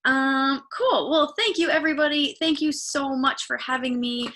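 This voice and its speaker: A woman in her thirties giving a live online photography talk, chatty and encouraging with many ums, her voice slightly hoarse, heard over a video call. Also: sits high, normal speed, bright, forceful tone. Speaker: photography workshop instructor.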